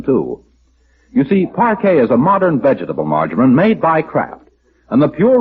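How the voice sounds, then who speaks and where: An American man announcing in a polished, emphatic 1940s radio sponsor style. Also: medium pitch. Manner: polished, emphatic sales delivery. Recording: dull vintage radio, faint noise.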